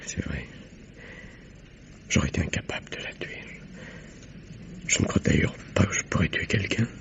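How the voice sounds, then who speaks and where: A man's voice: a bass voice, animated, moderate pace, creaky, full character voice. An actor playing an agitated man in his thirties, a nervous murder suspect, in a stammering, hesitant old French radio drama style. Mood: hushed, pleading. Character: anxious stockbroker husband of 32, suspected of murder. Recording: dull, noisy vintage radio recording.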